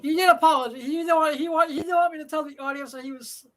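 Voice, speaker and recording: A man's voice: very high-pitched, fairly even intonation, quick pace, a bit bright. A man hosting a live show from home, talking in a rambling, profane way with many fillers, a slightly nasal voice and a dry throat. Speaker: host. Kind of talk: casual live show monologue.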